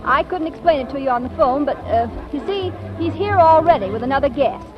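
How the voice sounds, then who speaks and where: A dull vintage radio recording with music behind. a breezy, scheming wife, high and swooping in pitch, with a wry 1940s comedy delivery. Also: female voice, lively intonation, brisk. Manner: breezy, sardonic. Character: brisk, wry, scheming wife in a marriage of convenience.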